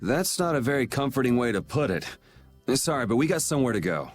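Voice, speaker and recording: A man's voice: mid-range voice, big pitch swings, fast talker, lightly acted. An actor playing a confident young male swordsman hero with a dry, blunt, self-assured way of talking. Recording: game music underneath.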